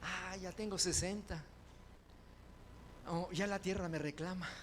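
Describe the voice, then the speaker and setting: High-pitched guy preaching, gets loud and fired up then chatty again, faint hiss behind. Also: fairly even intonation. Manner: rises to loud fervent proclamations, then drops to quick conversational asides. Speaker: pastor. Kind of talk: sermon.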